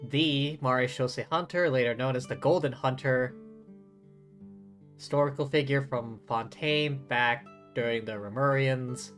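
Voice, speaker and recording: A man's voice: mid-range voice, fairly even intonation, measured pace, a bit bright. A man doing casual live game commentary, chatty and thinking aloud as he plays. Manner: chatty, mimics lines mockingly, thinks aloud. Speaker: game streamer. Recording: faint game music behind.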